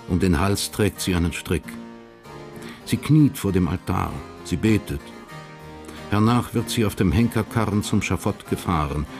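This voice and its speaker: A man narrating a period crime radio drama in a sober, measured, literary style. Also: sits low.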